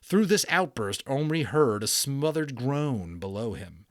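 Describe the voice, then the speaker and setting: A man with a mid-pitched voice gives a dramatic storybook narration. He leans on key words theatrically, like a keen amateur storyteller. The sound is dry, with little room in it. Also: big pitch swings, unhurried, dry recording. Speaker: audiobook narrator.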